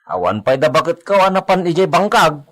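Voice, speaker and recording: A man's voice: a little high, very animated, brisk, a bit bright. A man playing a worried, cautious village henchman in a radio drama, reluctant but obedient. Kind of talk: Ilocano radio drama acting.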